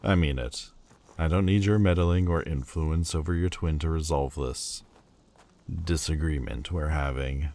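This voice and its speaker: A man's voice: very deep voice, a little slow, dark tone. A man voicing a proud, prickly male Lord of Demons in a fantasy audio roleplay, haughty and sardonic with a grudging tenderness. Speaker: voice actor.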